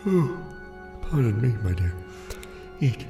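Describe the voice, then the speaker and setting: A man playing a courtly, brooding vampire lord in an ASMR roleplay, speaking formally and deliberately in a hushed, breathy, gravelly voice. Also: mid-range voice, very animated. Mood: polite, gentle. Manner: formal, hushed, deliberate, theatrical aristocratic address. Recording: roomy echo under background music. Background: music.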